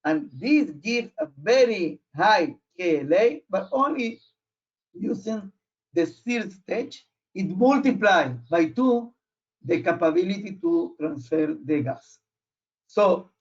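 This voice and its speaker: Guy with a high voice presenting technical stuff, non-native english, keeps searching for words, muffled online audio. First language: not English.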